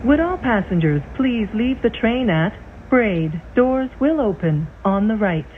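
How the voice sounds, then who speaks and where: A woman reading scripted transit announcements in a measured, formal, clearly enunciated way. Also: mid-range voice, expressive. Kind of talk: scripted train station announcements. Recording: tinny band-limited train speaker, loud vehicle noise.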